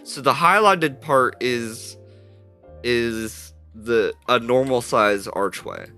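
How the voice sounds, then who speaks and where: A man's voice: medium pitch, slow pace. A man streaming live as he builds a game model, chatting casually and rambling with constant self-corrections, his voice a little stuffy through a headset mic. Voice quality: slightly stuffy. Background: soft jazz music playing.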